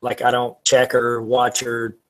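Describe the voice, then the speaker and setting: A man's voice: medium pitch, completely flat delivery, a little slow, a bit bright. A man co-hosting a podcast in casual, joking chat, with an easily amused, self-deprecating manner. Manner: laughs often while talking, self-deprecating. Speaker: podcast co-host.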